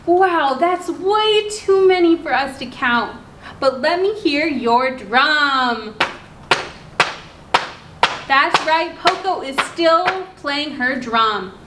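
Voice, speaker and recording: A woman hosting a children's story time, reading aloud in simple, instructive language and pausing to put questions to her listeners. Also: high-pitched, expressive. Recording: roomy echo, clear background noise.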